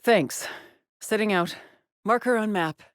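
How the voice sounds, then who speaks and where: A woman's voice: mid-range voice, slow, no room sound, studio quality, lightly acted. An actress playing a weary, haunted woman astronaut in a video game, speaking in terse callouts or brooding murmurs. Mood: brisk, urgent. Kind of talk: scripted game character voice lines.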